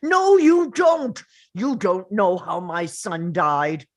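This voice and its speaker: A woman's voice: lowish voice, animated, slow. A woman playing a grieving, angry father in a dramatic script read, confrontational and emphatic. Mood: anguished, furious. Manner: confrontational, emphatic, pressing with questions.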